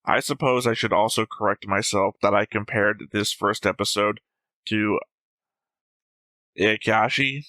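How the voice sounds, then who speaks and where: Bright-voiced man reviewing his notes. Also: on the low side, steady tone, a little slow, pauses often, no echo. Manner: analytical, jokey, acts out quotes. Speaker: reaction show co-host. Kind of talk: casual discussion reading notes.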